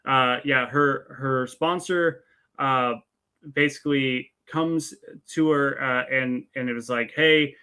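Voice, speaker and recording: A man with a bright, forward voice chats through a film review. He explains in a halting, conversational way, often circling back to pick up his thread. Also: mid-range voice, fairly even intonation, smooth. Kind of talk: casual film review discussion.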